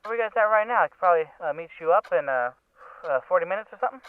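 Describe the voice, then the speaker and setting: Breezy newspaperwoman, game role, played by a man: quick, animated, casual, tinny phone-like audio. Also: high-pitched, clear, resonant tone, dry recording. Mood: brisk, businesslike. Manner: casual, rapid, offhand. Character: breezy, brisk female newspaper reporter. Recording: thin, phone-like radio sound.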